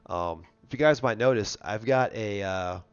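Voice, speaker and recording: A man's voice: fairly low pitch, normal speed, smooth. A congested, nasal man with a worn voice, chatting and telling stories casually as the host of a live car show. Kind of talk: casual chat and storytelling. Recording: dull, lo-fi stream audio.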